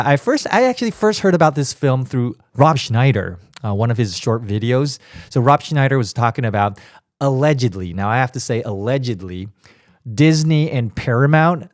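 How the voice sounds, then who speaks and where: A man with a raspy, cold-worn voice hosts his own podcast, chatting away with lively emphatic asides. His voice sits at an ordinary middle pitch. The recording is close and dry, with no room sound. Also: dry recording. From Taiwan. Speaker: podcast host. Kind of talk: casual solo podcast review.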